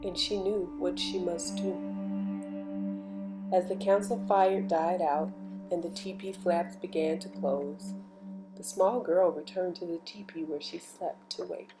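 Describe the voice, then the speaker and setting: Slow-paced woman reading a bedtime story. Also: lowish voice, rough recording. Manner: slow, measured, expressive storytelling. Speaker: storybook reader. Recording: music behind the voice. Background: music.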